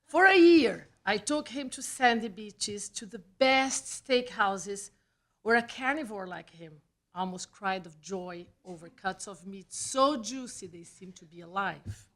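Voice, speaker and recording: A woman with a Brazilian accent, a native Portuguese speaker, reads a personal essay aloud at a live event, with deliberate phrasing and dramatic pauses. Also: mid-range voice, animated, a bit bright. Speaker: writer reading own personal essay. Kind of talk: scripted storytelling read aloud at a live event. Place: small theatre, live reading event.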